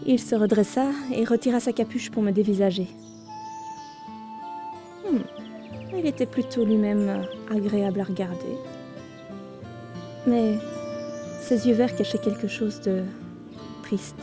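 A woman playing a novice ranger who tells her own adventure in a fantasy audio drama, measured and a little wry. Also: mid-range voice, steady tone, over music, lightly acted. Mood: wry, curious. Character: young female ranger, novice gamer, wry and curious narrator. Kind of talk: first-person audio drama narration.

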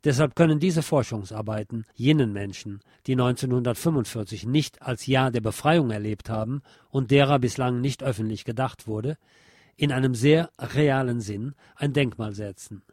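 A man's voice: medium pitch, moderate pace, darkish tone, no echo. Formal male narrator, dry close recording. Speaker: podcast host reading from a history book. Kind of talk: scripted narration, reading a nonfiction text aloud.

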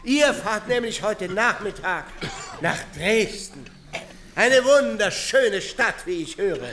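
A man playing a pompous English officer in a radio drama, speaking in measured, declamatory phrases. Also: sits high, animated, a bit bright, slight background noise, heavily acted. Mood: wistful, genial. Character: pompous English officer addressing captive soldiers, wistful, genteel.

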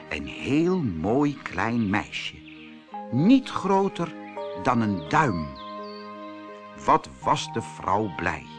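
A man reading a children's fairy tale as a storyteller, expressive and deliberate in his delivery. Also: mid-range voice. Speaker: storyteller, audiobook reader.

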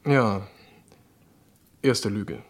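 An actor playing an uneasy, polite medical colleague in a German radio crime drama, speaking hesitantly. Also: male voice, fairly low pitch, animated, drawn-out words, full character voice. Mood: uneasy, confessing.

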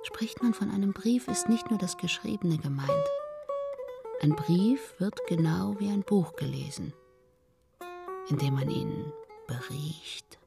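An actress playing a gossipy, self-satisfied matchmaker in a German radio play, theatrical and drawn out, often dropping into whispers. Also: female voice, medium pitch, huge pitch swings, unhurried, gravelly, full character voice. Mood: knowing, savouring. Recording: studio radio play over plucked-string music.

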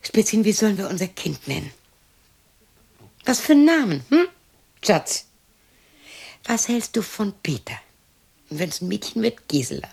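A woman's voice: mid-range voice, big pitch swings, moderate pace, vocal fry, long pauses, darkish tone, husky, heavily acted. An actress playing a lonely, cantankerous widow in her sixties in a radio drama monologue, muttering to herself and snapping. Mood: tender. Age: 60s. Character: lonely, cantankerous sixty-year-old German widow talking to herself.

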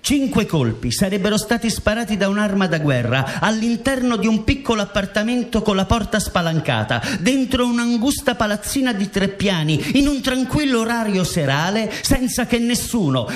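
Male narrator for factual radio theatre, high voice, formal and declarative. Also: expressive, normal speed, few pauses. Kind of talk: scripted documentary radio drama narration.

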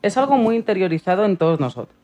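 A woman's voice: medium pitch, big pitch swings, racing, dark tone. A woman reading scripted narration as a radio co-host. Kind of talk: scripted narration, read book review.

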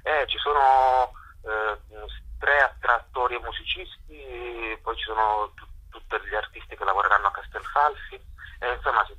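A man answering as a guest in a radio interview, hesitant and halting as he searches for words. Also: mid-range voice, fairly even intonation, sharp tone, husky. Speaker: interview guest, theatre artist and workshop teacher. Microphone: telephone. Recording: dull telephone line on radio.

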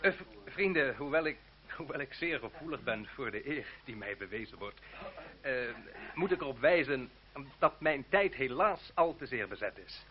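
An actor playing a smooth-talking French student chairman, addressing an assembly in formal, flowery oratory in a radio drama. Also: mid-range voice, animated, moderate pace, natural voice. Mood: flattering. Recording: dull lo-fi radio recording, crowd. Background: crowd.

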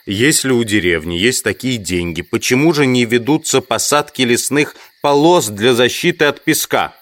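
A man playing a trapped, brooding entomologist in an audio drama, with deliberate, dramatic phrasing. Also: medium pitch, lively intonation, fairly bright tone, lightly acted. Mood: indignant. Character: trapped male entomologist, indignant, brooding, rational. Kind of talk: audio drama acting with inner monologue. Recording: music behind the voice.

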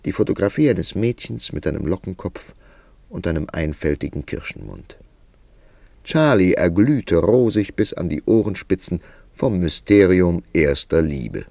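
Male radio drama narrator, weary ironic writer, slow measured phrasing, tightly dry studio sound, wry literary manner. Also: deep voice, very animated, vocal fry, mellow, no room sound, full character voice. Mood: wry, reflective.

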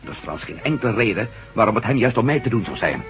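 Animated, quick level-headed man. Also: mid-range voice. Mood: sceptical, calm. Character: wry, level-headed male ex-astronaut adventurer. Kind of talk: radio drama acting. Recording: dull lo-fi radio recording, music behind.

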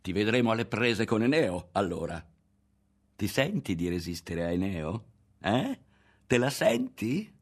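A man's voice: deep voice, normal speed. A man voicing a jeering, sneering boy bully in an audiobook story. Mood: mocking. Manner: jeering, provoking.

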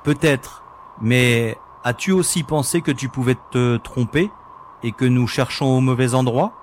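A man's voice: medium pitch, unhurried, natural voice. A man voices a young space explorer with an easy, teasing manner. His lines come with a faint noise in the background. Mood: casual, teasing. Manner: teasing, casual. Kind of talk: audio drama acting.

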